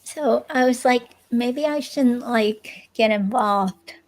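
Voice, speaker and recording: Woman reading as a questioned ex-girlfriend, slightly high voice, slow pace, hesitant, curious, casual interview reenactment. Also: rough recording. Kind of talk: dramatic reading of an interview transcript.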